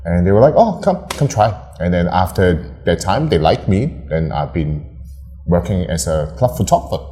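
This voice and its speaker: A man's voice: sits low, sing-song, moderate pace, warm tone, slight background noise. A young man from Hong Kong, a photographer, chatting casually as an interview guest, rambling with lots of fillers and restarts. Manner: laughs often, rambling with many fillers and restarts.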